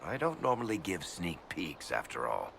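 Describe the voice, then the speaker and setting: A man's voice: deep voice, wildly swooping pitch, normal speed, vocal fry, a bit bright, a little hiss or hum, exaggerated voice. An actor voicing a wry, boastful male dwarf author in a video game, teasing and mock-humble.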